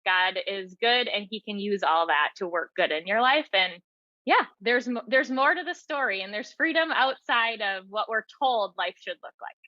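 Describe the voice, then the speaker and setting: Bright-voiced woman, slightly dull recording. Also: medium pitch, animated, moderate pace, no echo. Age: 30s or older. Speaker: podcast guest, author and teacher. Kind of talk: reflective personal interview talk.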